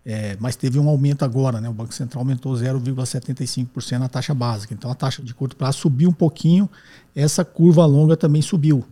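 A man's voice: mid-range voice, steady tone, fast, warm tone. A man hosting a podcast, answering a listener's question step by step and often tagging his sentences with a questioning "right?". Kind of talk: explanatory podcast talk.

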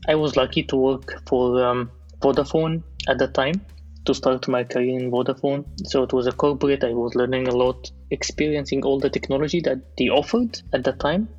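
A man in his thirties from Egypt, a software developer speaking as a podcast guest in a measured, thoughtful way, often pausing to rephrase. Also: mid-range voice, highs cut off. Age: 30s. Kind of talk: casual interview.

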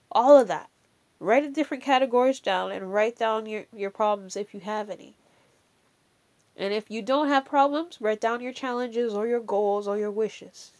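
Slow, earnest woman with a Jamaican lilt. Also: fairly high pitch, a little mellow. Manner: earnest, emphatic, repeats key phrases; chatty, adds "you know" and "all right". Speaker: faith encouragement speaker. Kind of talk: casual motivational faith talk.

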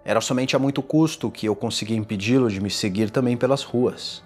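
A man playing a tormented condemned man in a gothic horror audio drama, confessing in a formal, theatrical and deliberate delivery. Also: mid-range voice, darkish tone, close to an everyday voice. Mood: wistful, grave. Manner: theatrical, deliberate, ornate diction. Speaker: narrator. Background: music.

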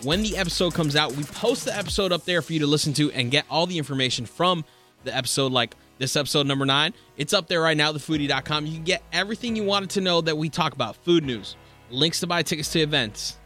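Bright upbeat man hosting a podcast. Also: a little high, quick pace, soft background music. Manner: upbeat, promotional, sing-song plugs. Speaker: podcast host.

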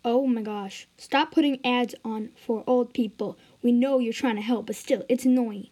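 A woman's voice: on the high side, a little slow, a little mellow, slightly rough. A woman acting cranky, bossy, bickering old roommates in an amateur comedy radio drama. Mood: annoyed.

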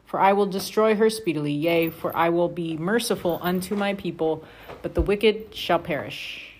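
A woman's voice: lowish voice, moderate pace, rough recording. A woman in casual family conversation and scripture reading, with an informal, playful, slangy way of talking, recorded at home. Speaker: family podcast host reading scripture aloud and commenting.

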